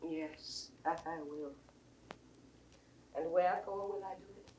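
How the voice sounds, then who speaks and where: An actress playing a grief-stricken widowed mother in a classical Shakespearean audio drama, with theatrical, declamatory delivery that swings between hush and wail. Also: low voice, slow, poor audio. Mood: bitter, broken.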